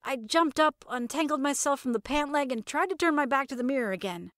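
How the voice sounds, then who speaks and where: A woman reading first-person comedic fiction in a wry, sardonic, expressive storytelling manner. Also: high-pitched, brisk, dry recording, clean studio sound. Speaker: narrator of fiction podcast.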